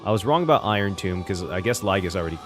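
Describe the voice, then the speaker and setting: A man giving casual, excitable reaction commentary on a game story, chatty and occasionally swearing. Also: low-pitched, quick pace, music in the background. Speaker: reaction streamer.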